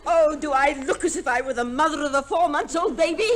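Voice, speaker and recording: A woman's voice: high-pitched, a little fast, natural voice. An actress playing a fussy older mother-in-law, dramatic and indignant in 1950s radio comedy style. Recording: noisy old radio recording.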